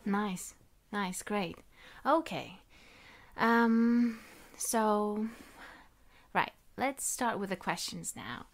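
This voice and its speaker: A woman's voice: medium pitch, very slow. A woman hosting a podcast, introducing her guest and reading out interview questions. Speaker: podcast host.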